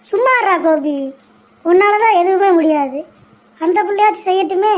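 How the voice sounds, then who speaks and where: A woman's voice: extremely high pitch, moderate pace. A woman voicing a blunt, chiding old grandfather who protects his daughter-in-law in a cartoon parody.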